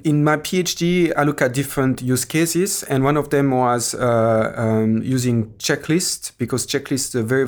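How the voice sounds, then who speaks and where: A middle-aged man from Switzerland whose first language is French talks with a clear French accent. His voice sits in a mid range, and he explains his work in a hesitant way, full of fillers and small restarts. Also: mid-range voice. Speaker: guest, academic. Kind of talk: podcast interview.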